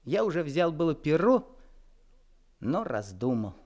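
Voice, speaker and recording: A man's voice: fairly high pitch, big pitch swings, measured pace, darkish tone. A wistful young man. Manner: reflective, wistful storytelling. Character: young man recalling his youth. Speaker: narrator. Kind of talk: 1970s Soviet radio play narration.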